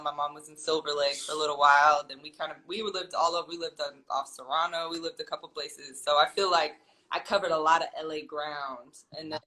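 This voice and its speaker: Thoughtful bright-voiced woman guest. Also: deep voice, poor audio. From California. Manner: thoughtful, rambling, many ums and restarts. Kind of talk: casual interview chat.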